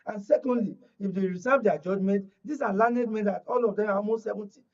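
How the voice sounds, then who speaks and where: A man's voice: high voice, fast talker, a little mellow. An older man with a Nigerian accent speaking as an analyst in a panel discussion, making emphatic points with anecdotes and rhetorical questions. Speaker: political analyst and panel guest. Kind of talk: panel discussion on current affairs. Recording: dull, lo-fi broadcast audio.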